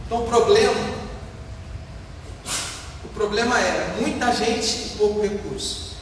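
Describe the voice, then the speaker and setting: A man's voice: high voice, a little slow. A Brazilian man preaching as a pastor, explaining a Bible passage in a didactic, emphatic way with rhetorical questions and repeated points. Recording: echoey hall, distant mic, faint noise.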